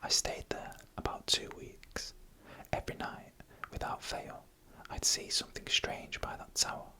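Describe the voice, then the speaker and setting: A narrator telling eerie stories in a breathy, unvoiced ASMR whisper, close to the microphone, with slow suspenseful phrasing. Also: pushed and bright.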